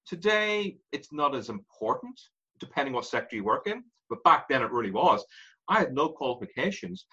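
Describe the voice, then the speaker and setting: A man in his forties with an Irish accent, a guest telling his story in an animated way and laughing through it. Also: mid-range voice, normal speed, breathy edge, lo-fi sound. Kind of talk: candid interview storytelling.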